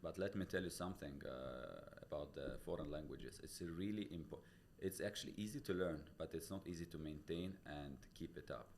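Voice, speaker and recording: A man's voice: rumbling low, fairly quick, vocal fry, hardly any pauses, a little mellow, slight background noise. A man from Tajikistan, a real estate broker, explaining himself earnestly as a podcast guest, with a non-native English accent. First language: Pamir dialect. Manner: earnest, explains step by step with many restarts. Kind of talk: casual interview talk. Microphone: studio podcast mic.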